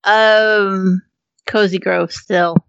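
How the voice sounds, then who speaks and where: A woman co-hosting a podcast in casual banter, with a high-pitched voice, laughing often and teasing the others. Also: fairly even intonation, extremely slow, gravelly, fairly bright tone, clear, resonant tone, dry recording, highs cut off. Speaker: podcast co-host.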